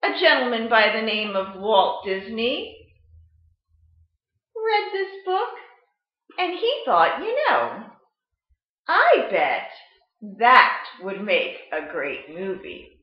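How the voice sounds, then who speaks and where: American lady doing kids library storytime, high sing-song voice that goes up and down, kinda echoey webcam sound. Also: lo-fi sound. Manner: slow, deliberate, animated explaining to children. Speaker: children's library storytime presenter. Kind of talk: sensory storytime lesson for children. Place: indoor room at home, seated at a table.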